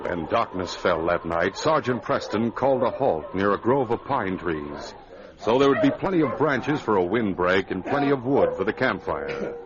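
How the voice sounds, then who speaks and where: A man's voice: lowish voice, sing-song, moderate pace, over a noisy crowd. A man announcing and narrating an old-time radio show with a dramatic, emphatic delivery. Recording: muffled vintage radio recording.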